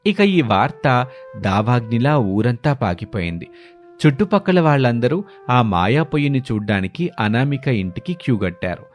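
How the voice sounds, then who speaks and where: A man tells a scripted moral folk tale in a mid-pitched voice, with music playing softly behind him. He delivers it as a storyteller narrating events in order. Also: very animated, normal speed.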